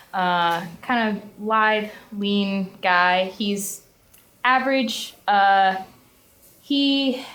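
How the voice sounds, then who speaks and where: Woman player describing her guy, super slow, lots of uhs, echoey room. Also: medium pitch. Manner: unhurried and thoughtful, with frequent fillers. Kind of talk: describing her character in a tabletop game.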